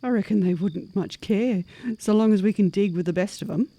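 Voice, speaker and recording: A woman's voice: medium pitch, brisk, mellow, lightly acted. A woman playing a practical, upbeat superhuman monster adventurer in an audio horror-comedy, with a folksy, coaxing manner. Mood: eager, persuasive. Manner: folksy, plain-spoken, coaxing.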